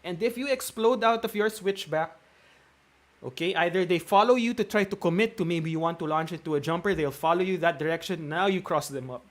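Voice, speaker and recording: Filipino guy in his 30s, kinda high voice, laid back streamer talk, lots of ums. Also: fairly quick. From Philippines. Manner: relaxed, chatty, explains with frequent fillers. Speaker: gaming streamer. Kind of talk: live stream tutorial and Q&A.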